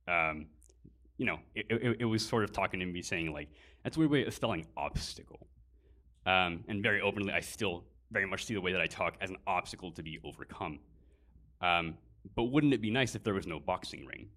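Very deep man giving a bright speech. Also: a little fast. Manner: earnest, fillers between phrases. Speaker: guest speaker. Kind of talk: prepared personal speech at a live event.

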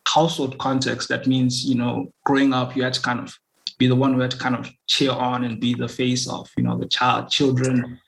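A man's voice: medium pitch, monotone, normal speed, gravelly, slight background noise, low-quality recording. A man in his twenties hosting a podcast, asking loose, rambling questions. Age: 20s. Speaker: podcast host. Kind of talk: podcast interview.

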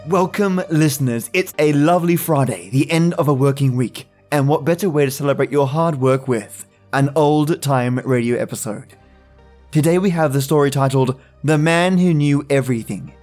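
A man's voice: on the high side, normal speed, a little mellow. A man hosting a storytelling podcast, reading a scripted, spooky introduction to listeners. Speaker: podcast host. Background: music.

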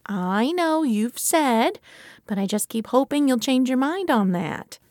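A woman's voice: high-pitched, expressive, unhurried, darkish tone, no echo, studio quality. An actress playing a doting, stubborn middle-aged mother in an audio drama. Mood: hopeful, dismissive.

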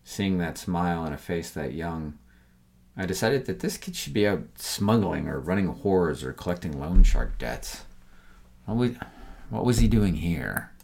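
An extremely low-pitched man narrates serial crime fiction in the first person. He reads from a script as the storyteller, with his voice sitting at the very bottom of the male range. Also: animated, measured pace, creaky, a little mellow.

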